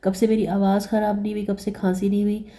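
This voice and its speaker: Dark-voiced hoarse woman vlogger. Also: mid-range voice, flat delivery, fast talker. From Pakistan. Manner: chatty, repeats words, mixes in English phrases. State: hoarse, sore throat. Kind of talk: casual vlog narration.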